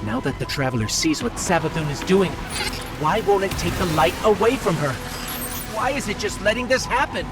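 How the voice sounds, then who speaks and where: A man voicing a small, loyal robot companion in a video game, earnest, worried and expressive. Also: high-pitched, normal speed. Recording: voice under loud game music.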